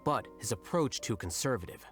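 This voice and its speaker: A man playing an aloof, bluntly logical young scholar in an anime video game, measured and articulate. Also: mid-range voice, expressive, a bit bright, lightly acted. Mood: detached, explanatory. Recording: music behind.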